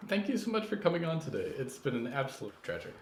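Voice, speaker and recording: A man hosting a podcast, measured and courteous, moving between interview talk and literary storytelling narration. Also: medium pitch, very animated. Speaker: podcast host. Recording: echoey room, faint background noise.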